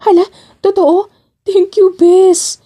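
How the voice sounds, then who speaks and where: A woman with a Filipino accent voicing an eager, playful young jobseeker in an audio drama, casual and expressive. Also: extremely high pitch, slow, voice pushed up. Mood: excited.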